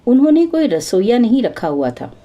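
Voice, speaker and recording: A woman's voice: fairly high pitch, sing-song, moderate pace, dull audio. A woman storyteller narrating a scripted folk tale.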